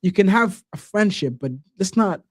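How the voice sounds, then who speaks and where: A man hosting a podcast, chatting casually in a relaxed, slangy way and often saying "bro". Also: high-pitched, normal speed, no room sound, studio quality. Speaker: podcast host.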